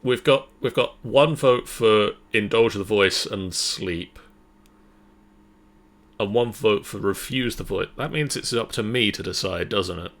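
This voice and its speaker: A man serving as game master, narrating a story in a measured, dramatic way and then chatting casually. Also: fairly low pitch, creaky, a bit bright.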